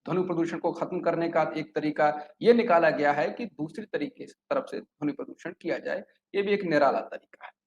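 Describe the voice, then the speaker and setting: A man's voice: on the high side, normal speed, hardly any pauses, highs cut off. A man presenting a scripted news report in an explanatory way, occasionally stumbling over words. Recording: echoey room with clear background noise.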